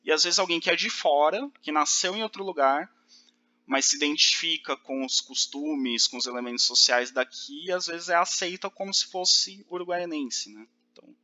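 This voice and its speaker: A man's voice: on the high side, normal speed, sharp tone. A man teaching a recorded lecture, explaining step by step with fillers and check-ins.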